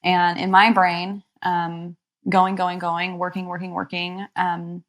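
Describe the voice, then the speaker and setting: A young adult woman therapist, born in Egypt, speaking slowly and reflectively as an interview guest, pausing often with soft ums. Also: lowish voice, completely flat delivery. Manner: soft, thoughtful, many pauses and ums.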